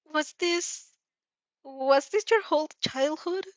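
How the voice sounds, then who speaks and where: A woman plays a friendly teenage schoolgirl in an audio story. Her voice is lifted high, tentative and questioning, full of curiosity, on a slightly muffled recording. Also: slow, no echo. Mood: uncertain.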